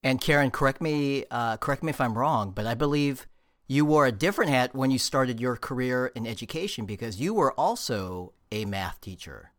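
A man hosts an interview in an easy conversational way. He sometimes pauses to search for the right word before moving on. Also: mid-range voice, fairly bright tone. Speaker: podcast host. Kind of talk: interview hosting.

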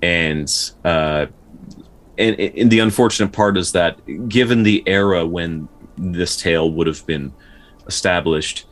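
Male podcast co-host, very low voice, casual but studious folklore explainer with a halting, word-repeating delivery. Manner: halting, with repeated words and stutters. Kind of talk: casual explanatory discussion of folktales.

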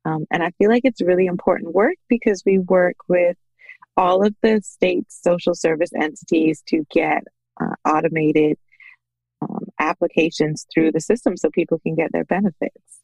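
A woman with a somewhat low voice and noticeable vocal fry chats as a podcast guest. She tells her own story in a conversational way, livening up when she quotes others. The recording is dry and close. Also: normal speed, a little mellow, no echo, faint music behind, poor audio. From Bahamas. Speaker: podcast guest, small business owner. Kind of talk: conversational personal storytelling in an interview.

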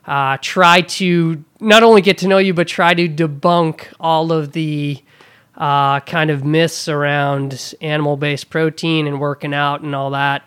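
A man's voice: fairly high pitch, fairly even intonation, measured pace, fairly bright tone, smooth. A man hosting a podcast interview in a hotel room, enthusiastic and full of fillers. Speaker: podcast host.

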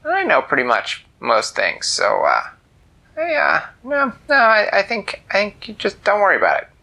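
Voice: mid-range voice, huge pitch swings, normal speed, vocal fry, pushed and bright, voice pushed up, full character voice. A storyteller voicing an ancient, grumpy male cat who talks in curt, whispery grumbles. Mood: grumbling.

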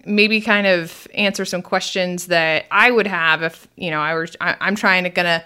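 A woman hosting a casual podcast, explaining things in a chatty, conversational way and quick to laugh. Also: on the low side, steady tone, bright, forceful tone, clear, resonant tone. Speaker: podcast host.

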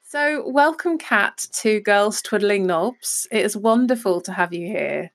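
A woman's voice: mid-range voice, animated, unhurried. Friendly woman hosting a podcast. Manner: friendly, affirming, many ums. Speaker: podcast host. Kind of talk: interviewing a guest.

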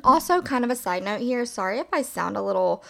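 A woman hosting a solo podcast in a casual, reflective, rambling way, with a slightly hoarse just-woke-up voice. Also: fairly high pitch, lively intonation, fairly quick, bright, forceful tone. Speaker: podcast host.

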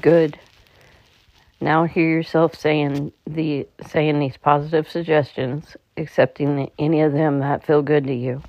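A meditation guide speaking in a calm, slightly halting way, leading a slow guided relaxation. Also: mid-range voice, no echo. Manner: calm, halting delivery with stumbles. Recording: dull, muffled lo-fi recording.

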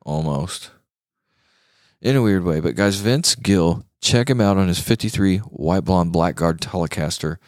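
Male talk show host, low-pitched, dry sound, informal and slangy guitar chat with emphasis. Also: unhurried, darkish tone, dry recording.

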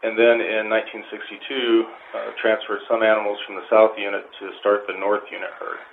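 Low, gravelly man on a noisy phone line. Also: level tone, brisk. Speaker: park wildlife manager being interviewed. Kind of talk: informative interview answers. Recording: phone-like line with faint noise.

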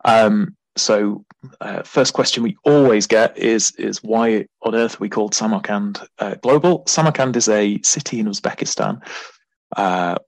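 A man's voice: on the low side, a little slow, no room sound, low-quality recording. A man explaining his company's work as a director and interview guest. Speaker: client services director, podcast guest.

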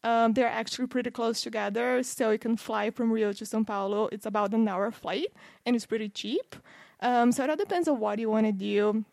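Brazilian woman, bright-pitched, dry close sound. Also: fairly even intonation, brisk, vocal fry, no room sound. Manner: friendly, explains with fillers and restarts. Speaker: podcast guest. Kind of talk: casual interview chat.

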